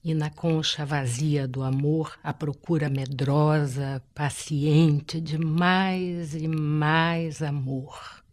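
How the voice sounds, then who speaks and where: A woman with a deep, low voice recites verse at an unhurried pace. She lingers on each phrase with solemn, measured pauses. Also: fairly even intonation, darkish tone. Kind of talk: slow solemn poetry recitation.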